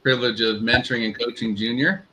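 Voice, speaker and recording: A man co-hosting a casual live chat as a business mentor, speaking in a halting, affirming way over some background noise. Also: mid-range voice, steady tone, pushed and bright, low-quality recording.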